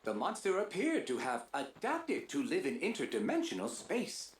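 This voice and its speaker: Courteous robot helper, precise wording, very animated intonation, video game, faint noise behind. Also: medium pitch, moderate pace. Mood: earnest, worried. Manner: formal, precise, wordy phrasing. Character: polite, formal, slightly fretful robot comrade. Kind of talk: scripted video game voice acting. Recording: roomy echo, faint background noise.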